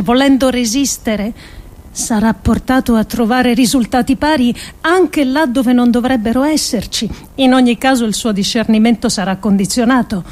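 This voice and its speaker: Higher-pitched woman reading a radio monologue. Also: darkish tone. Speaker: actress reading an adapted essay. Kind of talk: dramatic scripted radio monologue.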